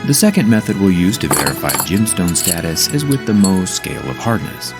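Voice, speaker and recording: Man, very low voice, lively intonation, scripted review narration, music underneath. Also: brisk. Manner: upbeat, explanatory, product-testing tone. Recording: narration with clear background music. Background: background music.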